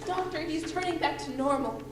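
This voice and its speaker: Woman playing a squeaky high rabbit sidekick. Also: fairly quick, lightly acted. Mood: excited. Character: excitable, cheeky young rabbit sidekick. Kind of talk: old-time radio comedy acting. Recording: echoey, very noisy old tape.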